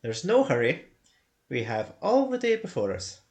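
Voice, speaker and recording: A man's voice: a little high, wildly swooping pitch, normal speed, darkish tone, voice pushed up. A man voicing a fussy, respectable male hobbit who is polite but prickly. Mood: cheerful.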